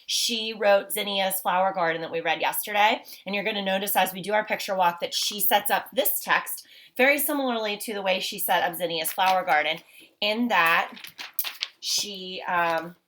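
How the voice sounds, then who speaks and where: Lady teacher doing a read aloud for little kids, medium pitch, asks lots of questions, encouraging. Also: moderate pace, a bit bright. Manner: encouraging, simple child-directed talk with frequent questions. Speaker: teacher reading aloud to children. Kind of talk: read-aloud picture walk, explaining and questioning.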